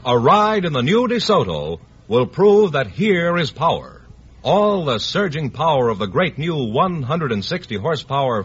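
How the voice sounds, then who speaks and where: Vintage American male announcer, very rough husky tone, lively swings, emphatic selling, dull old recording. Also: on the high side. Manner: emphatic, polished sales delivery. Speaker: commercial announcer. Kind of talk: 1950s radio advertisement.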